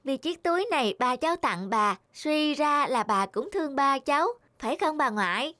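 A woman playing a plucky, inquisitive, mischievous little granddaughter in an animated film, polite but insistent. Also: high voice, moderate pace, dry recording. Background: music.

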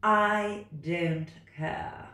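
A woman's voice: lowish voice, extremely slow, sharp tone, heavily acted. A woman voicing a bossy, impatient know-it-all storybook cat, making emphatic singsong rhyming pronouncements. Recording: roomy, distant microphone.

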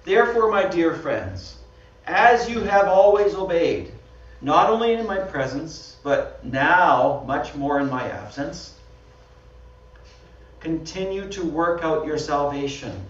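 Canadian pastor preaching in a roomy hall. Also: male voice, fairly high pitch, expressive, slow. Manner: conversational, self-deprecating, laughs often. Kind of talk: sermon with slides. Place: small church hall. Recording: dull, roomy sound from far off.

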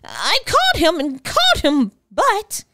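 Worried elderly elf helper for a picture book, female reader: high, hesitant, sing-song range. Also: huge pitch swings, unhurried, pushed and bright, no echo, clean studio sound, soft background music, full character voice. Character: little old male elf, anxious helper. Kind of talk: children's storybook read-aloud.

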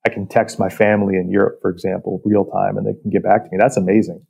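A man with a relaxed, mellow voice sits a little low in pitch. He talks as a technical guest in an interview, laying out industrial detail in a measured, explanatory way. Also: vocal fry, husky. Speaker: technical director, podcast guest.

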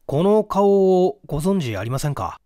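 A man's voice: sits high, very animated, normal speed, mellow, dry recording, studio quality. A man voicing a polite, composed male detective in a mystery story reading. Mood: courteous. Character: composed, courteous male detective narrating his case.

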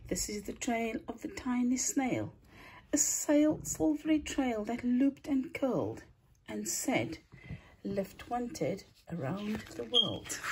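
A woman reading a rhyming children's picture book aloud in a theatrical, deliberate way, stretching words and hushing the ends of lines. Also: a little high, low-quality recording. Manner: theatrical, deliberate storytelling with drawn-out words and breathy hushed line endings. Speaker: storybook reader, teacher.

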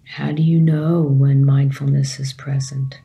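Slow, mellow woman guiding meditation. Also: rumbling low, creaky. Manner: calm, gentle instruction in short phrases with long pauses. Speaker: meditation teacher. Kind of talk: guided meditation.

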